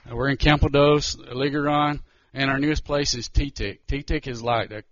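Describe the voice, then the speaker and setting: A man giving a nervous, halting missionary testimony in church, often restarting his sentences. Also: medium pitch, fairly even intonation, a bit bright, muffled recording. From Georgia, US.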